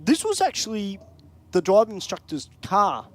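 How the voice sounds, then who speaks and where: High-voiced Australian race commentator. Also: male voice, wildly swooping pitch, moderate pace. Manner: chatty, upbeat, casual banter. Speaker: drag racing commentator.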